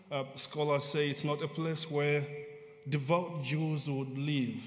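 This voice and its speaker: Male guest preacher, Malawian accent, mid-pitched, halting and deliberate storytelling delivery, big reverberant hall. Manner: deliberate, halting phrasing with pauses. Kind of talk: sermon told as narrative. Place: large hall, speaking to a gathering. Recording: echoey hall with long reverb.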